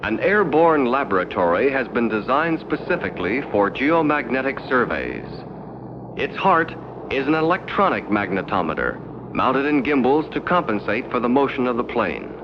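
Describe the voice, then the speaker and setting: An American man narrating a 1960s educational film in a formal, measured, no-nonsense way. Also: medium pitch, big pitch swings, noisy background. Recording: dull 1960s 16mm film soundtrack.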